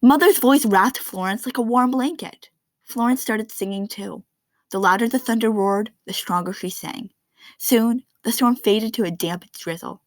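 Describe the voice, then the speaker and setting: A woman reading a children's picture book aloud in an expressive storytelling style. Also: mid-range voice, dry recording. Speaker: read-aloud narrator of children's books.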